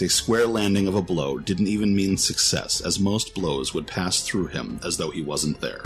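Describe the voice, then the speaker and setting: A man reading scripted narration for a fantasy story in a storytelling style. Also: low voice.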